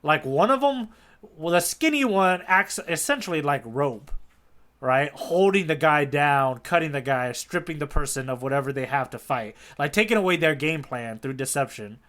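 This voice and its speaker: A man co-hosting a podcast in casual, informal, slangy discussion with an animated delivery. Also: a little high, moderate pace. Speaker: podcast co-host. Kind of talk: casual manga discussion chat.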